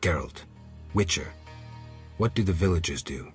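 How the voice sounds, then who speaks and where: An actor playing a stoic, gruff monster hunter in a video game, terse and deadpan with a gravelly voice. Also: male voice, low voice, normal speed, soft background music. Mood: cool, businesslike.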